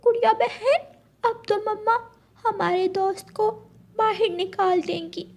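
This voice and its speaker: A woman's voice: very high-pitched, fairly even intonation, a little slow, pitched above the performer's own voice. A woman voicing an innocent, wishful little boy bird in a cartoon, talking in a childlike way. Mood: worried. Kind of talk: Hindi cartoon story dubbing.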